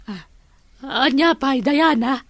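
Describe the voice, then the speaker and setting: A flustered, giggly aunt in a radio drama. Her voice is fairly high and very unhurried, recorded dry. She is coy and given to exclaiming. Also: lively intonation, fairly bright tone, dry recording, full character voice.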